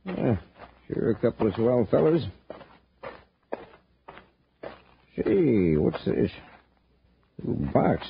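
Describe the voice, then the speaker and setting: An actor playing a folksy, naive, good-natured settler with an eager, hesitant manner in an old space radio drama. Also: male voice, medium pitch, big pitch swings, unhurried, gravelly. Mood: puzzled, excited. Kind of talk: 1950s radio drama acting. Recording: muffled old radio recording, faint noise.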